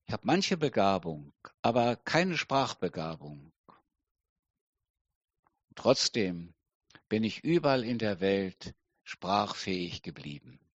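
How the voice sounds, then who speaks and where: A middle-aged man preaching a sermon slowly and deliberately, with emphatic pauses. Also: on the low side, very animated, dry recording, lo-fi sound. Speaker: pastor.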